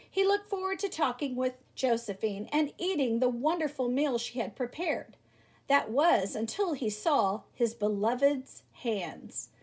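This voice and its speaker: A woman reading a children's picture book aloud like a teacher, animated, with theatrical emphasis. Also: sits high, slightly rough. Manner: animated, theatrical emphasis with exclamations and rhetorical questions. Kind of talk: expressive children's story read-aloud.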